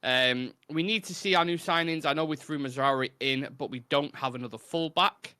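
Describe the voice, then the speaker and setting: Man, Mancunian accent, podcast host, bright tone, brisk, reads comments. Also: a little high, clear, resonant tone, no room sound, clean studio sound. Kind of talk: live football podcast chat.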